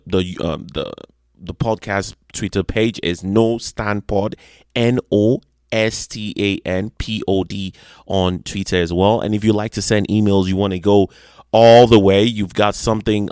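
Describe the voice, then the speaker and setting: A man's voice: lowish voice, clean tone, dry recording, studio quality. A young Nigerian man hosting his own music podcast, talking chattily and joking about how well he does foreign accents. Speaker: podcast host. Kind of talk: solo podcast monologue.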